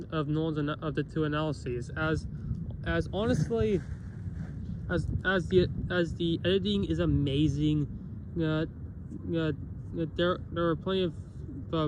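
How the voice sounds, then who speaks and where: A man's voice: on the high side, background noise. A man with a nasal voice and a cough, giving a casual spoken review and rambling through many restarts and self-corrections. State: has a cough.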